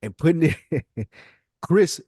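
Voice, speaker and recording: A man's voice: a little high, lively intonation, takes it slowly, mellow, breathy edge, dry recording, studio quality. A man co-hosting a podcast, debating and telling stories about hip-hop in an emphatic, slangy, casual way, often repeating himself for emphasis. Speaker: podcast co-host.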